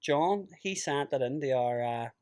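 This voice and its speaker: A man hosting a podcast, talking in halting, loose sentences. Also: medium pitch, lively intonation, darkish tone, smooth. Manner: halting, restarts, swears casually. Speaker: podcast host. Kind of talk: casual chat.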